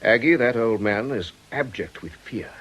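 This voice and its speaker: An actor playing a troubled, earnest priest with a husky voice, speaking urgently in a radio drama. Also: male voice, medium pitch, animated, moderate pace, a bit bright, highs cut off. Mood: pleading. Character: earnest, troubled priest pleading with an old acquaintance.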